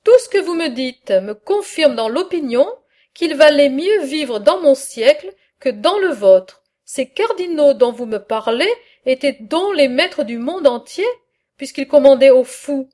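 A woman reading aloud the part of a wry ancient male satirist in a philosophical dialogue, in a measured, earnest way. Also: sits high, lively intonation, darkish tone.

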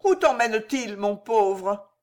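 A woman's voice: fairly high pitch, lively intonation, takes it slowly, a little mellow, pitched above the performer's own voice. A woman voicing a fierce, protective young peasant woman who scolds people sharply, in an audiobook reading. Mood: gentle.

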